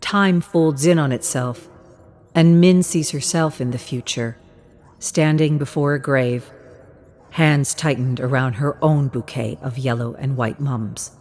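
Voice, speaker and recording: A woman's voice: a bass voice, fairly even intonation, a little slow, darkish tone. A woman narrator reading a scripted story.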